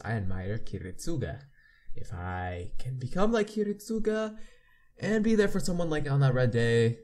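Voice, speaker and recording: A man's voice: on the low side, darkish tone. A man hosting a game playthrough, reading the text aloud with asides and often stumbling and repeating words. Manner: halting reader, repeats misread words. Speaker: playthrough host.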